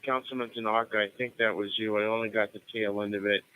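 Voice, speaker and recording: A man's voice: on the low side, little pitch movement, fast, narrow, tinny audio. An American man acting as net control, running a radio roll call in a formal, procedural manner.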